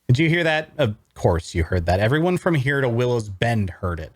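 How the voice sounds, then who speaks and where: A wry necromancer tells his own tale , played by a man, in a medium-pitched male voice. The narration is dramatic scripted fantasy prose, captured close and dry. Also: big pitch swings, fairly quick, dry recording. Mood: wry suspense. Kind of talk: first-person scripted narration at a table read.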